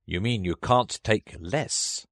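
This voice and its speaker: A man's voice: on the low side, slow, fairly bright tone, no room sound, studio quality, pitched above the performer's own voice. A man voicing a rude, smug hatter at a mad tea party. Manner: rude, triumphant, drawling.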